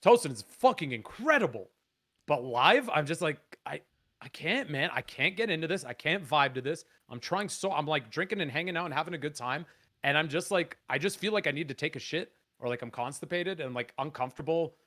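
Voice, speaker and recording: Raspy low-voiced canadian guy, swears a lot, gets fired up, talks in quick bursts. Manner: animated, swears freely, quick excited bursts. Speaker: guest musician. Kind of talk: casual podcast chat.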